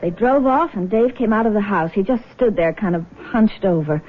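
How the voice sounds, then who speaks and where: Casting: actress for direct, independent young daughter in vintage radio drama; mid-pitched, earnest, narrow-band broadcast sound. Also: female voice, normal speed, lightly acted. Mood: indignant. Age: young adult. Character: caring, firm, independent young nurse and devoted daughter. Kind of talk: 1970s radio drama acting.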